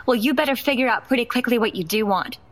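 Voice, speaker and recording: An actress playing a firm, hurt young wife in an audio drama. Her voice sits a little high, and she is blunt and confrontational with her husband. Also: fast talker, lightly acted. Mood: bitter, firm. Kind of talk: naturalistic audio drama acting.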